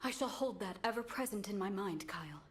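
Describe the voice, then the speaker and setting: A woman's voice: medium pitch, lively intonation, a little fast, close to an everyday voice. An actress playing a solemn woman warrior from long ago in game cutscene dialogue, speaking in formal, old-fashioned phrases. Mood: earnest.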